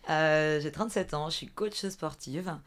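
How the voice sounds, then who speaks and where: A woman in her thirties from the Vendée in France, a fitness coach chatting as a podcast guest, often pausing with filler sounds. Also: deep voice. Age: 30s. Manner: hesitant, many filler sounds and restarts. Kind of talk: casual interview chat.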